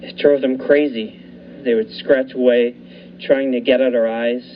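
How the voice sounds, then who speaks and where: A man's voice: medium pitch, fairly even intonation, a little slow. A young man narrating a radio thriller in the first person, telling it steadily and building the tension. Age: young adult. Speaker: narrator and lead actor. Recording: dull lo-fi recording, faint hiss.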